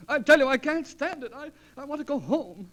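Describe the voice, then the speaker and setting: A man's voice: lively intonation, a little fast. A man acting a fretful, homesick, stranded British airman with an English accent in a 1940s radio drama. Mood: panicked. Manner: fretful, high-strung, whining.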